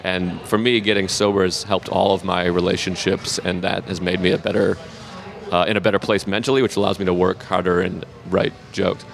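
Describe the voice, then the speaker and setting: A man in his thirties with a Boston accent, a comedian guest in a casual interview, halting and self-deprecating with frequent laughs. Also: low voice, little pitch movement, creaky.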